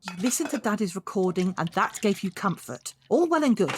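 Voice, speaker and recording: Actress as sardonic, animated grown daughter. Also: female voice, medium pitch, brisk, slight background noise, close to an everyday voice. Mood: pleading. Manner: biting, sarcastic, nagging. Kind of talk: naturalistic audio drama acting.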